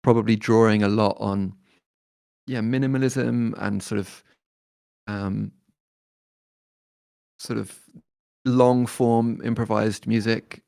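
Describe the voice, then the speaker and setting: A man, talking as a guest musician in a relaxed interview, thoughtful and hesitant with many fillers and restarts. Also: lowish voice, slow pace, gravelly, pauses often, dry recording. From born in Detroit, raised in London.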